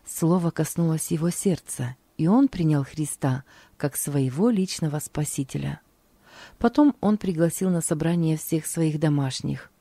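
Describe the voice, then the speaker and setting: A woman calmly reading aloud from a religious diary. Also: low voice, no room sound. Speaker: narrator.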